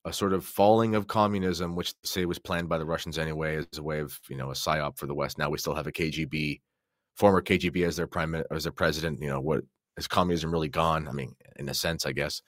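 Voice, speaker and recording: A man's voice: extremely low pitch, steady tone, quick pace, dry recording, clean studio sound. A man from Canada speaking as a podcast guest in a casual religious discussion, explaining things in an earnest, conversational way.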